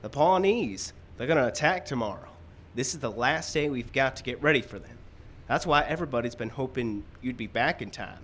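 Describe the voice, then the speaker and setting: Male actor as western storekeeper, eager, anxious, folksy, medium pitch, radio drama, noisy live stage. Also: animated, a little fast, fairly bright tone, close to an everyday voice. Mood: anxious, earnest. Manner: earnest, urgent, folksy. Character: anxious, eager frontier storekeeper readying to fight. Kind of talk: old-time radio western acting. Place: live radio show in a park. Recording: live outdoor stage, background noise.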